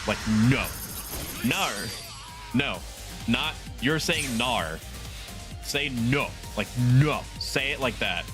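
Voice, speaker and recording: A chatty male streamer talking at an ordinary pitch while a game's music runs clearly underneath. He rambles from one thought to the next, loose and offhand, and breaks into laughs often. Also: expressive, takes it slowly, pauses often. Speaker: VTuber streamer. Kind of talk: casual live-stream gameplay chat. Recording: game music playing under the voice. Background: game music.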